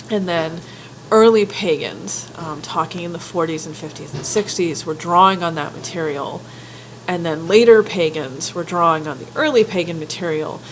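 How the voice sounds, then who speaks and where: A woman with a stuffy head cold giving an informal spoken lecture, careful and candid, often pausing with ums and restarting her sentences. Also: on the low side, a little hiss or hum, poor audio. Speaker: folklore writer and teacher.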